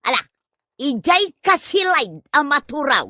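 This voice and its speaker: A bright, dry-sounding old Ilocano wife, acted by a woman, nagging and fretful with a sentimental streak. She speaks in exclamations, as melodramatic as comedy radio drama gets, with an Ilocano accent. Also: high voice, big pitch swings, a little slow, dry recording, exaggerated voice. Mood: scolding.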